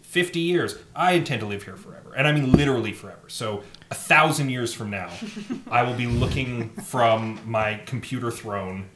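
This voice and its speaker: A man's voice: mid-range voice, normal speed. Man in an echoey, humming room. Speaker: podcast co-host. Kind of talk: casual chat. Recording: roomy echo with humming noise.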